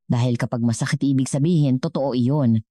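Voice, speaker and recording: A woman with a Filipino accent voicing a humble, gentle mother, polite and heartfelt in storybook dialogue. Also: very deep voice, steady tone, a little fast, no room sound, clean studio sound. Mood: thoughtful. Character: humble, gentle poor mother, wise about love.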